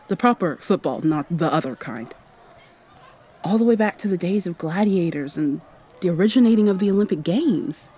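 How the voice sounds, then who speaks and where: A woman playing a brisk, awkward time traveler in an ASMR roleplay, talking to the listener in a rambling, wry way and thinking out loud. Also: fairly low pitch, slight background noise, lightly acted. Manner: rambling, hesitant, thinking out loud mid-sentence. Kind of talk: ASMR roleplay, acted one-sided dialogue to the listener.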